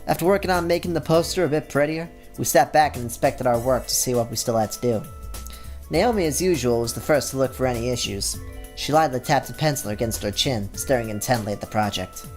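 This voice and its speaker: A man reading a visual novel aloud in plain, steady narration, with the odd casual aside. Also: medium pitch, brisk, soft background music. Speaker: YouTube gamer.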